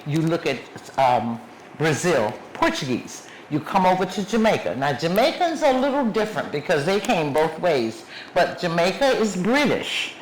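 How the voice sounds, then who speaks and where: A woman's voice: on the low side, big pitch swings, normal speed. A woman giving a lecture as guest speaker in a museum, stressing points and listing places one by one. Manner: emphatic, lists places one by one. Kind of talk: public lecture with questions. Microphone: clip mic under a shawl. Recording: echoey room, faint background noise.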